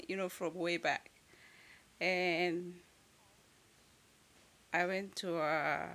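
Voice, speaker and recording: A woman with a Kenyan accent, a podcast guest telling her life story in a relaxed way and laughing often. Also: on the low side, creaky. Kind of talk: casual storytelling interview.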